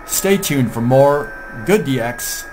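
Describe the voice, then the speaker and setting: A man's voice: mid-range voice, expressive, slow pace. A man with an American accent giving an informal hands-on demonstration as a video host. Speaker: amateur radio video host. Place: indoor home radio desk. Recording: clear background radio static and signal noise. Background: shortwave receiver noise and signals.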